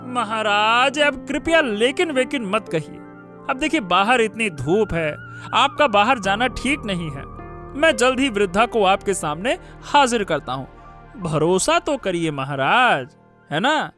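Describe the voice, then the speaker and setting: Man playing a bright-voiced, fawning royal minister. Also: sits high, big pitch swings, measured pace, faint music behind, exaggerated voice. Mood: coaxing. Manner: stammering, obsequious, wheedling. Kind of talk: Hindi cartoon story voice acting.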